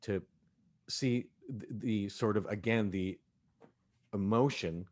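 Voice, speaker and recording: Male director, lowish voice, animated storyteller in a relaxed cast roundtable. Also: slow pace. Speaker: director of an audio drama. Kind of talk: casual cast discussion.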